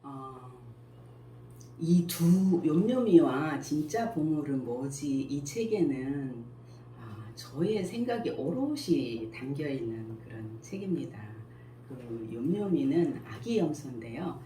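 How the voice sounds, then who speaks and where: A middle-aged woman, a school principal and picture book author, answering interview questions calmly and thoughtfully, with many pauses and fillers. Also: low voice. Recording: echoey room with faint noise.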